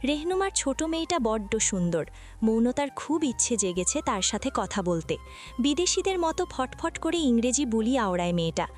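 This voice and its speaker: Woman, story narrator, fairly high voice, scripted romantic narration, light music behind. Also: big pitch swings, moderate pace.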